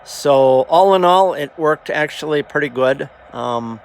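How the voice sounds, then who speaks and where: Gravelly man rambling casually, slightly noisy recording. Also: fairly high pitch. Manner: halting, plainspoken, trailing off. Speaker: hobbyist video host. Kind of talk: casual rambling vlog talk.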